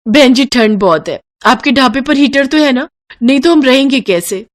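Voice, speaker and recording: A man's voice: very high voice, expressive, moderate pace, voice pushed up. A man voicing a cheerful tourist wife from the city, delighted by hot food in the cold. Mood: concerned. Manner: delighted, breezy.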